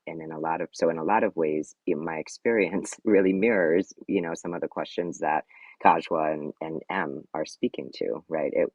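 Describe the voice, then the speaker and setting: A man's voice: extremely low pitch, gravelly, a little mellow, breathy edge, no room sound, dull audio. A man from North Carolina giving a thoughtful panel answer, restarting often and tagging lines with "right?". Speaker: panelist, organizer.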